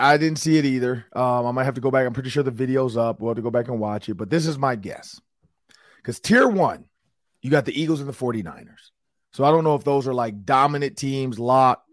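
A middle-aged male podcast host rambles through sports talk in a relaxed, chatty way, full of fillers and restarts. His voice has a slight rasp and sits at an ordinary pitch. The recording is close and dry, with no room sound. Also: a little fast, no room sound. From Minnesota, US. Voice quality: slightly raspy.

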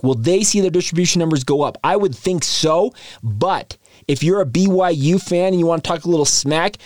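A man hosting a daily sports podcast, energetic and chatty, his voice a bit stuffy from allergies. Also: fairly high pitch, no echo, studio quality. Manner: energetic, conversational, chatty asides. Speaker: podcast host.

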